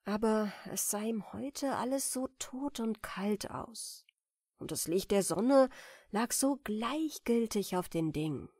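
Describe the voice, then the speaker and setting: A woman softly and deliberately narrating a fairy tale as a bedtime audiobook. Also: mid-range voice, breathy edge, dry recording, clean studio sound. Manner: soft, deliberate, expressive. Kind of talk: gentle bedtime story reading.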